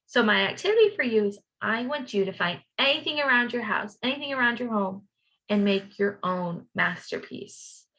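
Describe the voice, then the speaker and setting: A woman speaking to children as a teacher, explaining a craft activity in an animated, simple way. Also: medium pitch, normal speed, rough recording. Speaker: teacher reading aloud and leading a children's activity. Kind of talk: children's storybook read-aloud and craft instruction.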